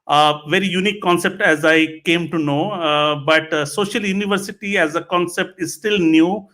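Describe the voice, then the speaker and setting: A man hosting a live interview podcast, speaking in a halting way and repeating his phrases. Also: on the high side, sharp tone. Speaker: podcast host.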